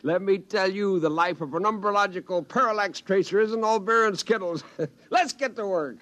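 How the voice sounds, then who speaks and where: An actor playing a cheerful young man eager to start a new job, in 1950s radio drama style, brisk and laughing. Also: sits high, a bit bright. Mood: upbeat. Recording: vintage radio broadcast, slightly distant.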